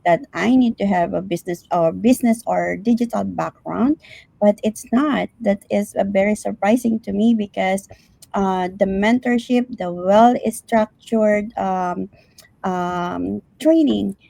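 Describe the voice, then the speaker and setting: A woman's voice: mid-range voice, a little slow, vocal fry, dark tone, no room sound, poor audio. A woman from the Philippines with a Filipino accent, speaking as a guest in a live online interview.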